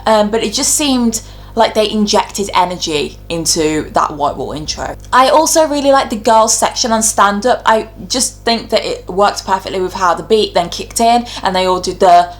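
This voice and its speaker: A woman's voice: medium pitch, lively intonation, normal speed. A woman talking casually and informally as a video host, giving her opinions in a chatty, conversational way. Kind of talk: casual opinionated ranking commentary.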